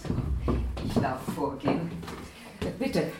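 A woman's voice: low-pitched, lively intonation, takes it slowly, reverberant, distant mic, very noisy. An actress playing a deferential, eager landlady in a radio drama, polite, obliging and a little hesitant. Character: deferential, eager married landlady showing rooms. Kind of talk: German radio drama acting.

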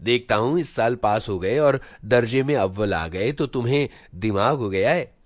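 A man's voice: medium pitch, moderate pace, no room sound, lightly acted. A man voicing a preachy, self-important schoolboy older brother, lecturing pompously with rhetorical questions in a storybook monologue. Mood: stern preaching.

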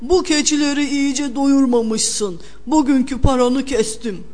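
A woman's voice: high-pitched, a little slow, a little mellow. A woman voicing a cunning, cantankerous, stingy male landowner in a storybook, curt and bossy. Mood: stingy, scolding. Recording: long hall-like reverb.

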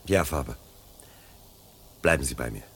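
A man's voice: a bass voice, expressive, normal speed, creaky, heavily acted. An actor playing a troubled, wavering fireman with a subdued, hesitant manner in a 1970s German radio drama. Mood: resigned. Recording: noisy vintage radio recording.

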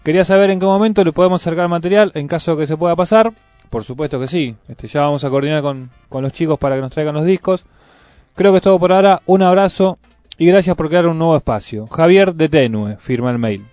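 A man's voice: fairly high pitch, fast talker, darkish tone, dry recording. A man with a Buenos Aires accent co-hosting casual community radio, chatting and reading out messages in a studio. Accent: Buenos Aires Argentine. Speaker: radio co-host. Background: music.

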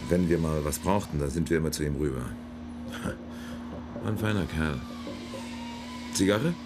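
An actor playing a gruff, jovial cigar-smoking business owner in a radio crime drama, casual and offhand. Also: male voice, very deep voice, unhurried. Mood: wary, jovial. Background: music.

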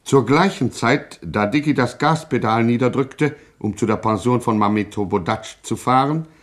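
A man's voice: medium pitch, moderate pace, soft background music. Male narrator for radio satire: mid-range voice, ironic, literary, mock-solemn.

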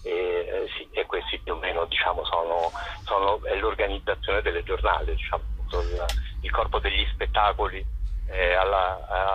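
A man's voice: low voice, a little hiss or hum. A man, a festival director, talking on a radio call-in and hesitating with many fillers. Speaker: festival director, phone guest. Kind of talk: radio phone interview. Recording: muffled phone line.

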